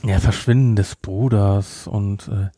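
A man's voice: on the low side, steady tone, measured pace, warm tone, dry recording, clean studio sound. A man co-hosting a podcast, chatting casually as he reviews an audio drama in a sarcastic, exasperated, nitpicking manner. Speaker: podcast co-host.

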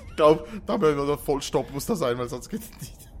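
A man chats casually as a comedy podcast co-host. His voice is a little high for a man, and there is faint noise in the background. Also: animated, brisk, raised voice. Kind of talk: casual comic chat.

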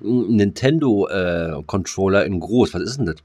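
A man's voice: sits low, animated, moderate pace, a little mellow, no room sound, clean studio sound. A man co-hosting a podcast over a video call, joking bluntly and crudely. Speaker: podcast co-host.

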